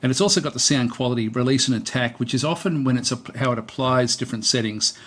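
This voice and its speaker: A man giving a casual, unscripted software tutorial, hesitant and thinking aloud as he presents. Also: mid-range voice, fast talker, husky.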